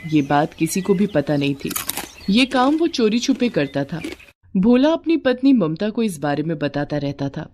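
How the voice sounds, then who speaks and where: A woman reads a scripted moral tale as its narrator. Her voice sits a little low for a woman, and the recording carries some noise. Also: very animated, normal speed, a little mellow. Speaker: story narrator.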